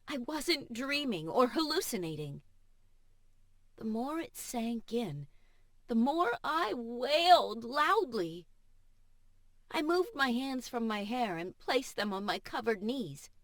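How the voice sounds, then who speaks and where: A woman audiobook narrator reading first-person fiction in an expressive, emotive and deliberate way. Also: a little high, no echo. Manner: emotive, measured, deliberate delivery. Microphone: studio voice-over microphone.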